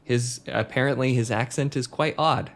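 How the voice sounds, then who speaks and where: A man's voice: mid-range voice, steady tone, normal speed. A man co-hosting a podcast in casual chat, explaining things easygoingly with hesitant fillers. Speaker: podcast co-host.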